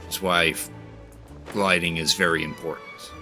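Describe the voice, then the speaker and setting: A man streaming a game, reading its story text aloud in a measured way and commenting on his play. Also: deep voice, flat delivery, over music.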